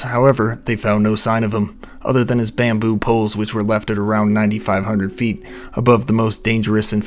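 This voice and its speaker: A young man with a low, soft voice, reading a scripted account of a mystery late at night at home. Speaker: narrator of a mystery channel. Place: home recording space.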